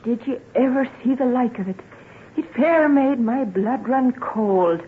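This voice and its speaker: A woman's voice: fairly high pitch, a little slow, natural voice. An actress playing a plain-spoken, sorrowful woman in an old-time radio drama. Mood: grieving, awed. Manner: earnest rustic dialect storytelling. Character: plain-spoken sorrowful country woman, devoted to her family. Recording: tinny, noisy old radio recording.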